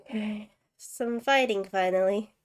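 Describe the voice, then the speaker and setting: A woman's voice: medium pitch, unhurried. A woman streaming a game live, giving casual, muttered short reactions in an offhand way. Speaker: VTuber streamer. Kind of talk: casual live gameplay commentary. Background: faint game music.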